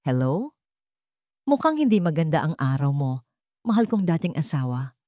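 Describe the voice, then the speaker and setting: Brief: smug vengeful ex-husband, voiced by a woman, slow sneering sarcasm, low and dry. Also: very animated, dark tone, no echo. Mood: gloating. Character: scheming smug ex-husband, man.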